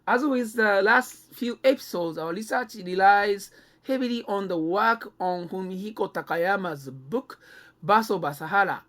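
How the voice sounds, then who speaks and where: Halting man with a Japanese accent. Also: sits high, a bit bright. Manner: halting, non-native English phrasing. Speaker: podcast co-host and filmmaker. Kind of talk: conversational podcast talk.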